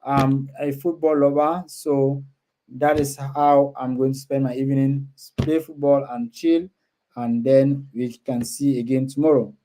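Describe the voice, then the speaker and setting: A man's voice: mid-range voice, level tone, unhurried, mellow, a little hiss or hum, low-quality recording. A man hosting a casual livestream, talking to viewers and often repeating his words and phrases. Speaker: live stream host. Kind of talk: casual livestream chat, reading and answering viewer comments.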